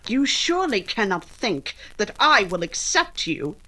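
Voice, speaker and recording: Woman playing a spirited young gentlewoman, very expressive. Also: medium pitch, unhurried, full character voice. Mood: cold anger. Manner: crisp, indignant, articulate. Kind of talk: old-time radio romance acting.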